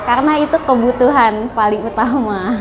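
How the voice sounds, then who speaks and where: A woman's voice: on the high side, normal speed, background noise. A twenty-year-old woman answering interview questions politely, with hesitant fillers. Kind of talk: casual street interview answers.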